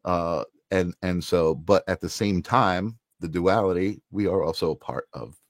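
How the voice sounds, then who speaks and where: A host with a very low-pitched voice asks questions in a casual, searching way and offers soft murmurs of encouragement. The sound is close and dry, with no room echo. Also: unhurried. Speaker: interview host. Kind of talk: casual interview chat.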